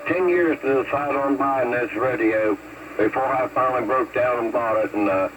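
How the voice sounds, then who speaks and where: A man's voice: mid-range voice, normal speed. A man from the United States chatting casually over CB radio with folksy phrasing and radio procedure, heard through static. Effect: two-way radio transmission. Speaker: CB radio operator, contact. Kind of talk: casual radio chat with call-sign procedure. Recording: noisy CB radio reception with static.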